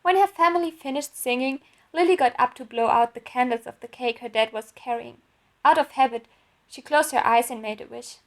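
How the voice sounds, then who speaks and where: A woman's voice: high voice, fairly quick. A woman reading her own written story aloud as a narrator, in an audiobook style.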